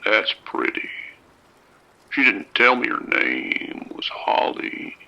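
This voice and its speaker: A man playing a calm, soft-spoken abductor in an audio drama, with a gravelly, whispery voice and a slow, coaxing manner. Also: medium pitch, dull audio, exaggerated voice. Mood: musing.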